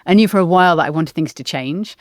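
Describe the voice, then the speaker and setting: Woman in her forties as a reflective interview guest; measured pace, slightly low pitch, dry sound. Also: no room sound. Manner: thoughtful, measured, pauses with ums. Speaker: podcast guest. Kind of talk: reflective conversation.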